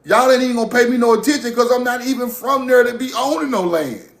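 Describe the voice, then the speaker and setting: A man holds forth on a livestream, his high voice climbing as he rants, swears and laughs at his own points. The sound bounces around an echoey room. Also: fast talker, gravelly. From Mississippi. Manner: animated, profane, laughing rants. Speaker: livestream host. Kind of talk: heated livestream monologue.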